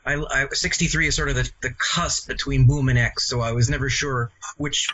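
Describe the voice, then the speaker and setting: A man's voice: medium pitch, fairly even intonation, brisk, pushed and bright. A man in his sixties with a Canadian accent, reminiscing casually as an interview guest in a rambling, self-correcting way. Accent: English-speaking Canadian. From Montreal, Canada. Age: 60s. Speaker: interview guest, comics author. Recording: muffled lo-fi audio.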